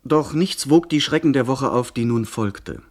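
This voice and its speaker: Young gentleman narrator, played by a man: sober, measured, mid-pitched, literary sea-adventure radio drama. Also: close to an everyday voice. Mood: grave, measured. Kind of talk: scripted radio play narration.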